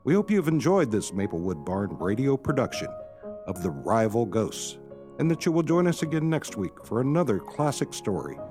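A man's voice: mid-range voice, very animated, moderate pace. A man reading scripted narration and announcements as the narrator of a radio theatre show. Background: music.

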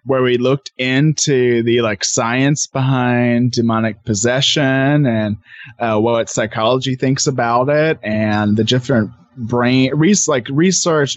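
A man with a medium-pitched voice chats as a podcast guest. He explains things informally, pausing and regrouping as he goes. The sound is dry, with no room around it. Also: no echo, dull audio. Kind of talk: casual conversation.

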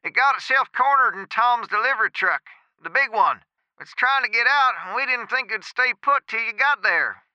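An anxious country man acted by a male narrator speaks urgently down an old phone line. His voice is very high and piercingly bright, clipped by a dull receiver sound. He is hurried and insistent, a figure from a dark audio story. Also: expressive, smooth, dry recording, exaggerated voice. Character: anxious rural man phoning in urgent news. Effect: old telephone receiver filter.

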